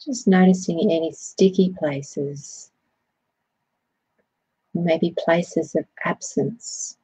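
A woman leading a guided body scan meditation, speaking in a soothing, gentle way with unhurried phrasing. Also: lowish voice, slightly rough, low-quality recording.

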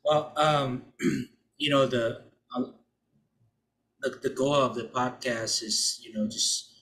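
A man's voice: mid-range voice, steady tone, takes it slowly, fairly bright tone, poor audio. A man in his thirties from American Samoa, hosting a podcast in casual chat, talking loosely with many restarts and fillers. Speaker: podcast host. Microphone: desk microphone.

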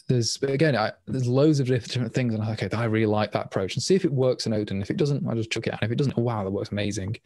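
Man, language designer, quick rambling answers with restarts, dry close webcam audio, mid pitch. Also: a little mellow, dry recording. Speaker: language designer presenting at a meetup. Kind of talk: casual Q&A answers. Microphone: webcam on a video call.

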